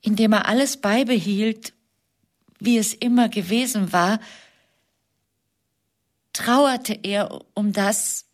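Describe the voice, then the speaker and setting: An actress playing a grieving middle-aged widow in a German radio drama, speaking in a hushed, halting, confessional way with pauses between short phrases. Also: female voice, mid-range voice, natural voice. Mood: quiet sorrow. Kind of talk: German radio drama acting, intimate monologue.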